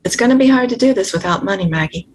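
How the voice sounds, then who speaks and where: A woman's voice: medium pitch, lively intonation, fast talker, a little mellow, slight background noise, close to an everyday voice. A woman acting a wary, practical fugitive in a screenplay table read, coaching her partner. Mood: stern warning.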